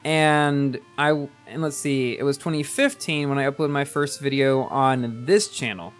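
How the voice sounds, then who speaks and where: A man's voice: mid-range voice, a little slow, clean tone, soft background music. A man in his early twenties chatting casually while livestreaming a game and reading chat, rambling and often repeating words as he restarts. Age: early 20s. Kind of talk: casual live-stream chat.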